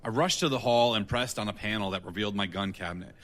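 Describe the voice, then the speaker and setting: A hard-boiled gun for hire acted by a man in a noir audio drama. He is blunt and wry, with a fairly low voice and a forceful, cutting tone. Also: quick pace, close to an everyday voice. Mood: matter-of-fact. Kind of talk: audio drama acting and first-person noir narration.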